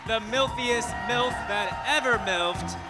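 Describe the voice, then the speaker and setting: A man with a very high-pitched voice announces an act in a hyped, drawn-out shout. Music plays behind him and a crowd is clearly audible. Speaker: show announcer. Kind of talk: hyped stage introduction.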